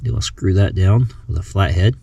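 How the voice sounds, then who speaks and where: Low-voiced man narrating a hands-on job. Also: moderate pace, gravelly, mellow, breathy edge, highs cut off. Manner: thinks aloud while working. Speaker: host of a DIY vehicle radio install video. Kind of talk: casual hands-on tutorial narration.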